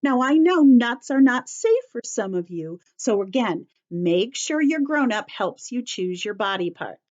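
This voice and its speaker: A woman teacher giving a story-time lesson to young children, explaining in simple, deliberate phrases and repeating key points. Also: fairly high pitch, sing-song, a little mellow, muffled recording.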